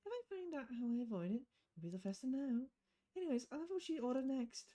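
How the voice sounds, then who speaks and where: Woman playing a brash, breezy female patron for a visual novel, excitable with very lively intonation. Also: high-pitched, fast talker, pitched above the performer's own voice. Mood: playful, bold. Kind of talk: acted reading of game dialogue.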